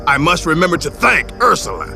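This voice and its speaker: A man's voice: on the high side, wildly swooping pitch, brisk, creaky, over music, exaggerated voice. A man voicing a cruel, gloating officer in a video game, with a theatrical, sneering and menacing delivery. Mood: smug. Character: cruel gloating male intelligence officer interrogating a captive. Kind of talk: dramatic video game voice acting.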